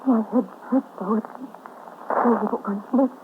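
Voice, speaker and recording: An actress playing a submissive, anguished young wife, pleading and hesitant, in 1940s radio drama style. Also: female voice, a little high, normal speed, natural voice. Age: young adult. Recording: noisy, tinny 1940s radio recording.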